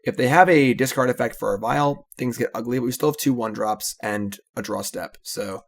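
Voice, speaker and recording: A man casually commentating his own card game, analytical and relaxed, often trailing off with "so". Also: medium pitch, moderate pace, gravelly.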